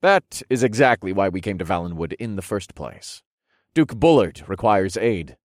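A man's voice: low voice, very animated, normal speed, no room sound, clean studio sound. A man voicing a brash, casual fantasy hero with cheeky modern phrasing in an audiobook.

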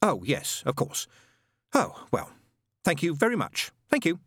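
A man's voice: on the high side, wildly swooping pitch, measured pace, a bit bright, husky, no echo, studio quality, pitched above the performer's own voice, exaggerated voice. A man voicing a fussy, polite angel bookseller with a prim, hesitant manner in a storybook reading. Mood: polite, flustered.

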